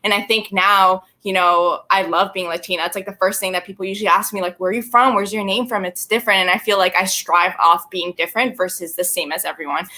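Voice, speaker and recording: A woman's voice: medium pitch, sharp tone. A woman from Colombia, raised in Canada, speaking reflectively as a panelist. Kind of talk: casual panel talk.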